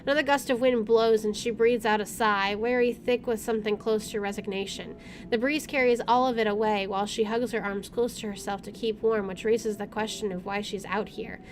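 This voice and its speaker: A woman's voice: medium pitch, steady tone, brisk, a little hiss or hum. A woman hosting a playthrough, reading game text aloud and commenting casually. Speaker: gameplay host.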